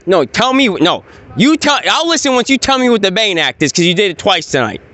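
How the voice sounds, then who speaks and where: A man's voice: high voice, very animated, a little fast, pushed and bright. A man in his forties filming police on a street at night, arguing in an insistent, repetitive, rambling way. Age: 40s. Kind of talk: heated street argument.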